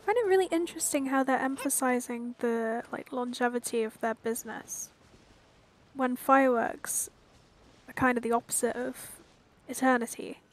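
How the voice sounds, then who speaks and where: A woman streamer talks through a game in a fairly high voice. She sounds informal and thoughtful, musing aloud in loose, casual phrases. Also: normal speed, soft background music. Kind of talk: casual let's play commentary.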